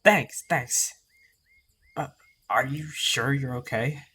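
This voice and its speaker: A man's voice: medium pitch, takes it slowly, bright, forceful tone, breathy edge, heavily acted. A man voicing an earnest, concerned young male apprentice cat, somewhat hesitant, in an acted fan audiobook. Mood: awkward, unsure.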